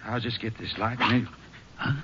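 A man's voice: lowish voice, steady tone, normal speed, sharp tone. An actor playing a folksy Texas farmer who soothes and brushes off his wife, in 1950s radio drama style. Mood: reassuring, impatient. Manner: folksy rural phrasing. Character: sleepy Texas farmer husband, dismissive and soothing. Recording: dull hissy old radio recording.

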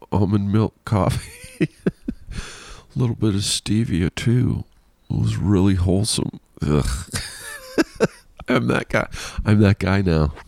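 A man's voice: sits low, vocal fry, a little mellow, dry recording. A man hosting a casual solo podcast, rambling and self-deprecating with frequent laughs, sounding congested and nasal. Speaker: podcast host.

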